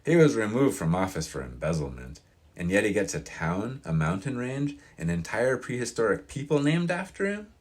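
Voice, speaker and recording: A man's voice: fairly low pitch, sing-song, moderate pace, voice pushed up. A man voicing an opinionated elderly small-town local in an audiobook, with a grating, folksy, emphatic delivery. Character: crusty old mountain-town man, proud, opinionated, wistful. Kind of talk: audiobook character reading.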